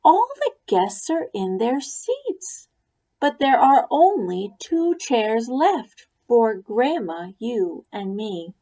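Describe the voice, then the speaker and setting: A woman voicing a bossy, organized young girl bunny, speaking brightly and with emphasis. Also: a little high, big pitch swings, slow, voice pushed up, full character voice.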